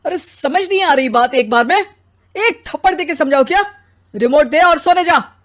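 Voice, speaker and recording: A woman's voice: high-pitched, expressive, normal speed. A woman voicing a grumpy, lazy, self-important husband and father who complains and makes demands in a story. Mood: irritated.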